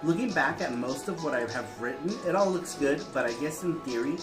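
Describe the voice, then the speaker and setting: A man's voice: on the high side, expressive, a little fast, echoey room, over music. A man co-hosting a show, chatting casually, laughing often and reading long viewer letters aloud. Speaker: co-host reading viewer answers.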